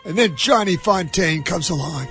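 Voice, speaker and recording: High-pitched, blustering movie mogul. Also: male voice, animated, a bit bright, soft background music. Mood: bitter, boastful. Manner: emphatic, drawn-out ranting. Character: blustering, indignant middle-aged movie mogul with a grudge. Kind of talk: comic impression of a film scene.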